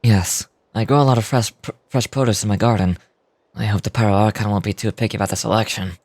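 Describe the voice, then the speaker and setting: A woman's voice: extremely low pitch, steady tone, fast talker, darkish tone, pitched below the performer's own voice. A woman voicing an earnest, apologetic young man in a video game, stumbling a little as she reads aloud. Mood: earnest, sheepish. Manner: stumbles while reading aloud.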